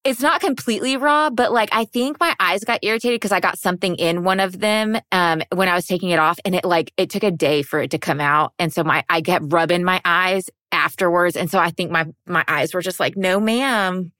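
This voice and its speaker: A woman in her thirties with a slightly low-pitched voice hosts a podcast. She talks casually and effusively, with plenty of slang, and the sound is dry and close. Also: a little fast, hardly any pauses, a bit bright, no room sound. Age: 30s. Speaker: podcast host. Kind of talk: casual chatty podcast talk.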